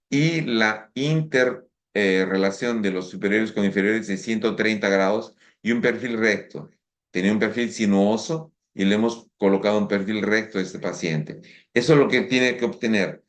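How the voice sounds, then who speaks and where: Low-voiced man lecturing with halting restarts. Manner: halting, frequent restarts and fillers. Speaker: orthodontist lecturer. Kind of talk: conference lecture. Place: conference hall.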